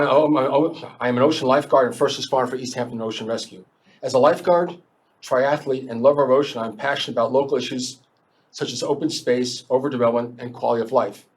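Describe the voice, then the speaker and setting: A man giving a measured, slightly halting prepared statement as a town board candidate at a local debate. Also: mid-range voice, breathy edge.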